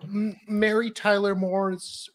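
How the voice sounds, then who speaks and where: A woman's voice: medium pitch, little pitch movement, takes it slowly. A woman panelist on a live stream, trading wisecracks in casual banter.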